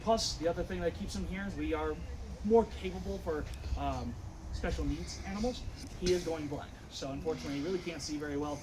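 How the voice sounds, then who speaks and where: A man guiding a group outdoors speaks in a loud, projecting, chatty voice, somewhat high-pitched and rattling along quickly. His voice is caught on a visitor's camera among the group, with steady outdoor noise behind him. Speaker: wildlife tour guide. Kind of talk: guided tour talk to visitors. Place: outdoors at animal enclosures. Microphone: visitor's camera from among the tour group.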